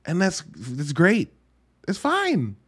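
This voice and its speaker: A man's voice: sits high, wildly swooping pitch, slow, husky. A man hosting a podcast solo, talking through a movie review in a wry, conversational way with emphatic asides. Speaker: podcast host.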